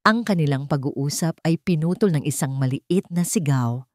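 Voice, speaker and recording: Low-voiced woman narrating a story. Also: animated, darkish tone, dry recording, studio quality. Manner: dramatic, measured storytelling.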